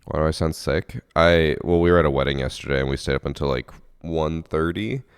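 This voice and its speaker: Very deep, gravelly, sniffly male streamer. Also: fairly quick, no echo, studio quality. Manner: laid-back, rambling, self-mocking. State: sniffly, congested from lack of sleep. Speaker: game streamer. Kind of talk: casual live-stream chat, reading chat aloud.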